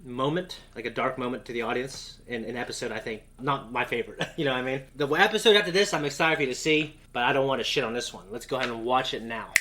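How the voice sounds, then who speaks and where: A man's voice: mid-range voice, fast talker, hardly any pauses, sharp tone, slight background noise. A man hosting a reaction show, chatting casually and recapping a cartoon with the odd swear word.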